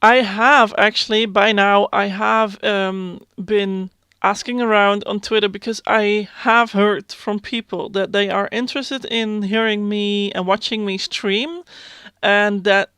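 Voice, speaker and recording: Self-conscious Dutch woman in her thirties, halting casual vlog voiceover, clean dry read. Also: mid-range voice, steady tone, smooth, no echo. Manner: hesitant, many fillers and restarts; self-conscious, soft-spoken. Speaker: YouTube Sims builder. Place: home.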